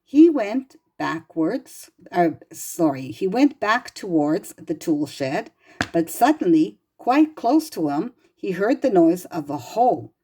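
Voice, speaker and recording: A woman reading a children's storybook aloud in an expressive, gentle and deliberate manner, as if to young listeners. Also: lowish voice, breathy edge. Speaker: storybook reader.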